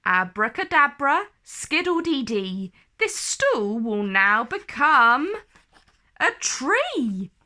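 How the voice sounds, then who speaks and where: Kindly female fairy teacher, acted out by a woman: storybook, instructive, theatrical, unhurried, very animated. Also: high-pitched, exaggerated voice. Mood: instructing.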